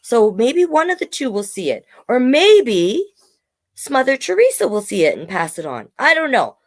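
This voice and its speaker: A woman hosting a children's storytime in a chatty, animated way, often putting questions to the listeners. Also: fairly high pitch. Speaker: storytime host, drag performer.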